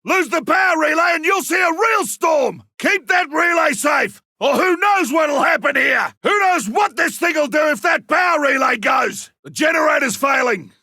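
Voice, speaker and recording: An actor playing a gruff, cocky, battle-hardened monster hunter in a video game, with a raspy, gravelly voice, barking urgent combat callouts. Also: male voice, very high-pitched, expressive, moderate pace, few pauses, bright, forceful tone, no room sound, raised voice, studio quality, heavily acted. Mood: urgent, shouting. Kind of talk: video game character voice lines.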